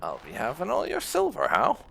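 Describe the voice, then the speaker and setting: A man's voice: on the high side, wildly swooping pitch, a little fast, vocal fry, a bit bright, slight background noise. A man hosting a let's play, giving casual, rambling gameplay commentary in an informal way. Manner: rambling, informal, with occasional swearing.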